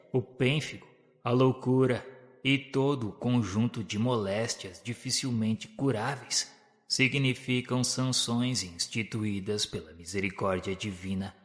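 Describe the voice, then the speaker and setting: Solemn low-voiced male narrator. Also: in a large hall. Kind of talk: scripted narration of a spiritist radio drama.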